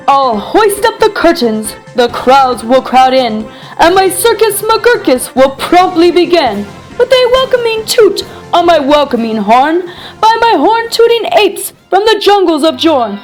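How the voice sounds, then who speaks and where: A woman's voice: sits high, full character voice. A woman voicing an eager, boastful young boy from a storybook who talks in grandiose, singsong showman's patter. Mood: excited.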